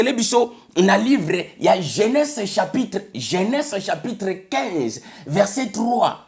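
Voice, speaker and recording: A man's voice: sits high, darkish tone. A man from Congo with a Congolese French accent, preaching an emphatic sermon in which he keeps repeating a set phrase. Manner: emphatic, repeats "bien aimé le Seigneur", switches between French and Lingala.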